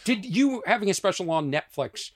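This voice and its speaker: A man from Massachusetts hosting a podcast and telling comic stories about himself in a loose, animated way. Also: sits high, normal speed, dry recording, studio quality. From Lexington, Massachusetts. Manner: animated, self-mocking storyteller. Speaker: podcast host. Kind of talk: casual comedic chat.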